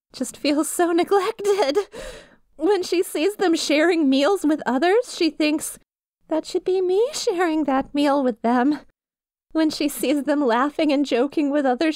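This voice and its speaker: A high, sweet voice of a lovesick young woman played by an actress in an intimate ASMR roleplay. She is nervous and clingy, swinging between tender pleading and quiet menace. The sound is very dry, with no room around it. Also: dry recording. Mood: hurt, jealous.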